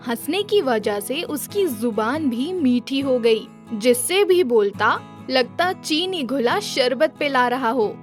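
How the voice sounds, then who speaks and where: Casting: female children's-story narrator, high voice, unhurried delivery, soft music bed. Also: lively intonation.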